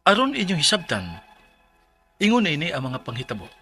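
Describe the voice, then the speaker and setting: A man's voice: on the high side, huge pitch swings, brisk, pushed and bright, soft background music, full character voice. An actor with a Filipino Bisaya accent playing a mild husband and father in his thirties in a radio drama. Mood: earnest narrating. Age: 30s. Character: Mark, mild thirty-year-old husband and father, let down. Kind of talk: Philippine radio drama acting and letter narration.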